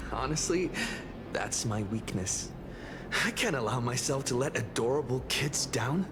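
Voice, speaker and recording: A very low, unhurried woman for an anime game, soft-hearted and wryly resigned. Noisy ambience sits around the voice. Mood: wry resignation.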